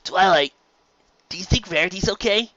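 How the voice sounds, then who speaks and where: Guy doing a worried little dragon kid, higher voice, bright, storybook style. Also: normal speed, dry recording, lo-fi sound. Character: small young male dragon, anxious, guilt-ridden, devoted.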